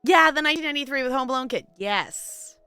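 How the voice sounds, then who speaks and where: A woman with a lively, swooping delivery and a fairly high voice chats casually to her stream viewers. She rambles along in a giggly, conversational way, with music playing behind her. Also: fast, clear, resonant tone, dry recording. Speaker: live game streamer.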